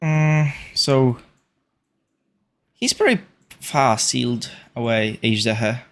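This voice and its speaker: A man livestreaming a video game, casually thinking out loud in short muttered remarks. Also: mid-range voice, slow. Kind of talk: casual gameplay commentary.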